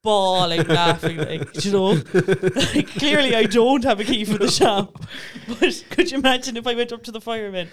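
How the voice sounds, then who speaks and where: High-voiced Irish man, giggly and chatty. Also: normal speed, few pauses. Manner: giggly, chatty storyteller who swears casually. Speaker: podcast co-host. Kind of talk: casual comic chat.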